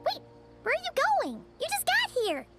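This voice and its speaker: A woman voicing a tiny, excitable, cheeky fairy companion in an anime game, with a squeaky childlike voice and exclamatory delivery. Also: moderate pace, soft background music, exaggerated voice. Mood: surprised. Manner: speaks of self in third person, exclaiming.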